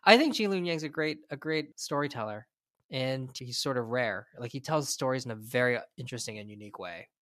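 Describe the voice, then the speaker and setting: A man with an American accent chatting as a podcast co-host, often halting and rephrasing himself as he goes. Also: mid-range voice, a bit bright, dry recording, clean studio sound. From United States. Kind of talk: casual conversational discussion.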